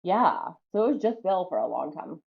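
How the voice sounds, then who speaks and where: Chatty Southern woman, lowish voice. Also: very animated, moderate pace, vocal fry, phone-quality sound. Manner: chatty, lots of "like" and "um". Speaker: podcast guest, former wrestler. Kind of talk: casual interview storytelling.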